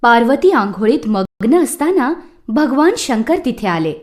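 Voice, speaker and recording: High, animated woman storyteller. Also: a little slow. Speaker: narrator. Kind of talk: scripted children's mythological storytelling.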